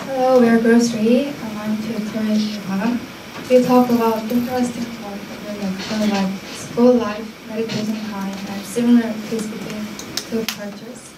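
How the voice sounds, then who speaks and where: A woman's voice: fairly high pitch, fairly even intonation, moderate pace. A woman speaking for a group in the audience delivers prepared questions in simple English. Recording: echoey room, distant, clearly noisy.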